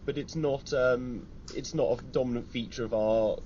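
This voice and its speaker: A man's voice: mid-range voice, steady tone, moderate pace, dark tone. A man, a magazine editor, speaking as a guest on a radio discussion, articulate and opinionated with dry wit. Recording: dull broadcast audio with faint hiss.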